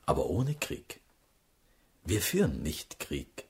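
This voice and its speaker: Slow, husky, sly statesman. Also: sits low, huge pitch swings, heavily acted. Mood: sly, confiding. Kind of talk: radio play acting.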